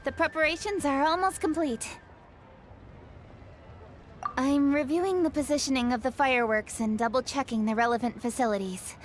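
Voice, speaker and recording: A woman playing a diligent young female official in a video game, earnest and firm, with a crisp, businesslike manner. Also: high-pitched, normal speed, pauses often, a little hiss or hum, lightly acted. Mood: friendly.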